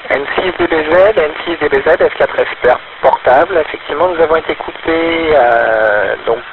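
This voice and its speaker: A man's voice: fairly high pitch, sing-song, normal speed. A man from France making an amateur radio contact, reciting call signs and chatting with many hesitations. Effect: two-way radio. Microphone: handheld radio transceiver. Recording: tinny, noisy handheld radio link.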